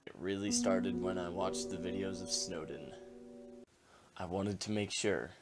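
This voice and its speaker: A man's voice: low-pitched, steady tone, measured pace. A man voicing a wry, weary, half-dead skeleton with a laid-back joking manner in a comic dub. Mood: somber. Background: music.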